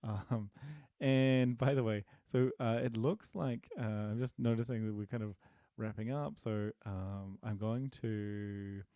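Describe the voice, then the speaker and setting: Gravelly low-voiced man thinking aloud. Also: fairly even intonation, dark tone, no echo. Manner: halting, many fillers and restarts, thinking aloud; friendly and self-deprecating, laughs at himself. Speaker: programming teacher answering live questions. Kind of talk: casual live-stream Q&A. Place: home desk, dim room.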